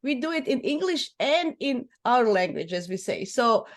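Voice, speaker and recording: A woman's voice: fairly high pitch, sing-song, normal speed. A middle-aged woman from Croatia with a Croatian accent, a native Croatian speaker, talking casually as an astrologer being interviewed, often restarting and correcting herself. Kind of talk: casual chat.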